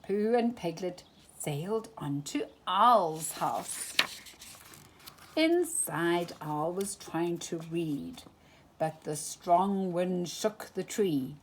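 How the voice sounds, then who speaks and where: A woman reading a children's picture book aloud for storytime, with an expressive, animated delivery. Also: on the low side, drawn-out words, slight background noise. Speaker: storytime reader.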